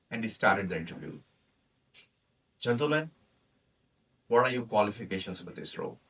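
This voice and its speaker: A man's voice: mid-range voice, sharp tone, recorded from a distance. A man in his forties with a Pakistani accent, a native Punjabi speaker, giving a humorous prepared club speech and acting out the people in his story. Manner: storytelling, acts out quoted characters.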